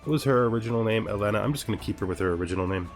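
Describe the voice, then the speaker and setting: A man's voice: lowish voice, very fast, soft background music. A man commentating casually on a video game he plays, thinking aloud and reading text from the screen. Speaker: gameplay streamer.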